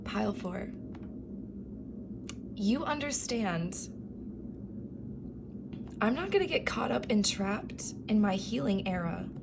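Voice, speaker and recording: A woman giving a gentle, affirming tarot reading, her voice worn and fading so that she often drops into a whisper. Her pitch sits in an ordinary middle range. A clear hiss of noise runs under the recording. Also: lively intonation, takes it slowly, long pauses. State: losing her voice, coughing. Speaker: tarot reader. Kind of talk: pick-a-card tarot reading.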